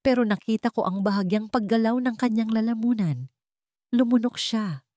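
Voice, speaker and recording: A woman's voice: a little high, normal speed, mellow, no echo, clean studio sound. A woman with a Filipino accent reading a dramatic first-person story as an audiobook narrator.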